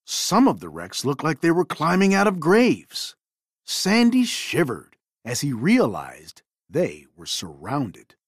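Lady reading a kids storybook, super animated, dry clean sound. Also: low-pitched, takes it slowly, breathy edge, no echo. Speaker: storybook reader. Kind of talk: expressive scripted children's story narration.

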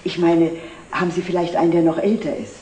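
A woman's voice: lowish voice, flat delivery, quick pace, dark tone, a little hiss or hum, close to an everyday voice. An actress playing a self-assured, naive, well-to-do middle-aged lady in a 1960s TV drama. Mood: curious, assured. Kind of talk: 1960s German TV drama acting. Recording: echoey old TV film sound.

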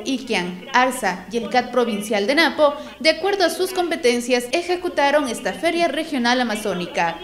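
A woman reporter reading a formal, measured news voice-over from a script. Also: high voice. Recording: voice-over with loud music bed.

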